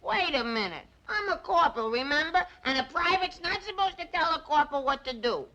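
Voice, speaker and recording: A man playing a cowardly, childlike army paratrooper in a slapstick film comedy, with a squeaky, whiny voice and babyish, stammering delivery. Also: animated, a little fast, sharp tone, full character voice. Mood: hapless bossiness. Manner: babyish pleading and goofy stammering. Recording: noisy old film soundtrack.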